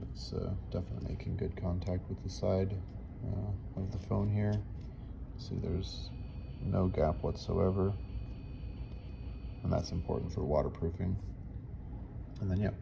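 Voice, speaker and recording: A man's voice: low voice, gravelly, background noise. A man giving a casual, unscripted how-to, talking through each step as he works with his hands. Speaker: repair technician giving a tutorial.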